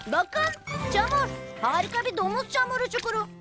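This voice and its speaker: Squeaky-high, emphatic cartoon youngster. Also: female voice, expressive, fairly quick. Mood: coaxing, excited. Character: bossy, encouraging young child in a preschool cartoon. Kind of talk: animated preschool cartoon dubbing. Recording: children's music behind the voice.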